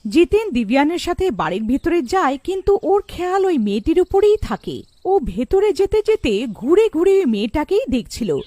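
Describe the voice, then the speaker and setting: A woman narrates a scripted children's story. Her voice is high with very lively intonation. The recording is dry, without room echo. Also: normal speed.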